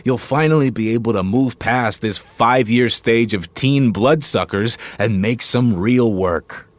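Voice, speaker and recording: An actor playing a brash, pushy talent agent who flatters and cajoles like a salesman in a naturalistic audio drama. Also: mid-range voice, unhurried, no room sound, lightly acted. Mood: persuasive, upbeat. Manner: slick, cocky salesman banter.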